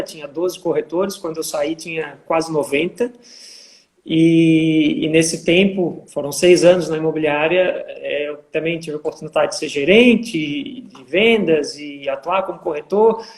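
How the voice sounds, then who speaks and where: A man talks in a bright, high register, explaining things patiently with plenty of fillers. His voice carries the boom of an echoey room, heard over a video call. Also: creaky. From Florianópolis, southern Brazil. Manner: measured, explains with many fillers. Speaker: guest, vacation rental manager. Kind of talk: casual live interview.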